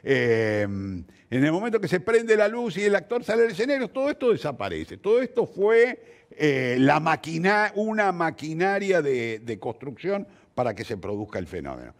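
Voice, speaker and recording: Older Argentine man, reflective interviewee. Slightly high pitch, animated intonation, emphatic rephrasing. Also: moderate pace. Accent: Argentine Spanish. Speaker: interviewed theatre director and teacher.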